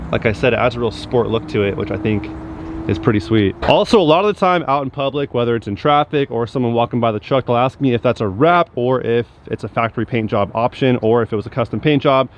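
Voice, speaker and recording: A man vlogging casually to his viewers, talking in an informal, slangy, upbeat way. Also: mid-range voice. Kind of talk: casual vlog chat to viewers. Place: in and around a truck. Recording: steady vehicle and outdoor background noise.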